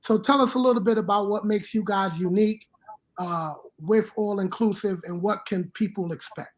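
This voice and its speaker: A man's voice: high voice, moderate pace. A man hosting a travel podcast, asking a guest conversational questions. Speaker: podcast host. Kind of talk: podcast interview.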